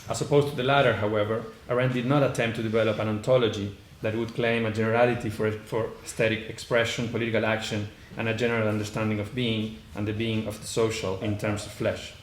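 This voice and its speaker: A man's voice: on the low side, level tone, quick pace, hardly any pauses. Argentine-accented man giving a hesitant lecture. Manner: hesitant, many "uh" fillers and restarts. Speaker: conference panelist. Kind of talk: academic lecture read from notes. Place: conference room. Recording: echoey room, faint background noise.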